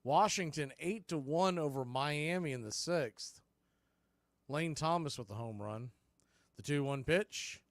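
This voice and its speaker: A man's voice: on the high side, unhurried, dry recording. An American man calling live baseball play-by-play for radio, in clipped phrases with conversational asides.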